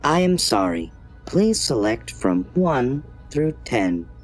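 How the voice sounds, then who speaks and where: A man's voice: mid-range voice, big pitch swings, slow pace, faint music behind. A man voicing a polite but obtuse customer-service AI in an audio drama, formal, scripted and impassive. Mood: politely scripted. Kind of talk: automated assistant in audio drama.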